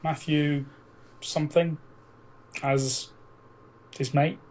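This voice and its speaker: A man's voice: fairly high pitch, steady tone, extremely slow, darkish tone, slight background noise, rough recording. A man co-hosting a casual podcast, with sweary, irreverent banter. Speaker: podcast co-host.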